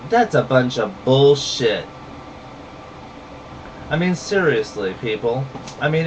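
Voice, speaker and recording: A man's voice: medium pitch, recorded from a distance, dull audio, background noise. A man with a Southern US accent, ranting bluntly and saltily to camera in a heated monologue. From rural southern United States. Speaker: vlogger.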